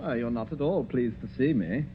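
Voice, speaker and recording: An actor playing a mocking young nephew who is a greedy killer, in 1940s radio acting style. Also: male voice, mid-range voice, brisk, slight background noise, natural voice. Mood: menacing. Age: young adult. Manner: mocking, taunting, laughs. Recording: dull lo-fi old radio recording.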